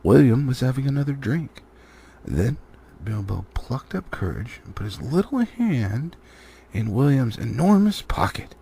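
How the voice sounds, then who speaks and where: A man narrating a storybook expressively, with dramatic pauses and drawn-out phrasing. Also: mid-range voice, very animated, vocal fry, warm tone, slight background noise. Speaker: audiobook reader.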